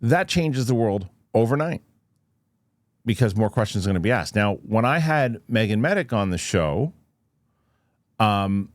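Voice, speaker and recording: Low-voiced man, skeptical halting commentator. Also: expressive, dry recording, clean studio sound. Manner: halting, thinking aloud, rhetorical questions. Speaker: show host and commentator. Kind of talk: casual off-the-cuff news commentary to viewers.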